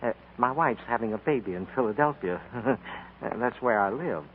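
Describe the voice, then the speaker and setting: A man's voice: medium pitch, animated, moderate pace, close to an everyday voice. A man playing a mild, naive, deferential store detective in a 1940s radio comedy, polite and earnest. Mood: sheepish. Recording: scratchy, tinny 1940s radio broadcast.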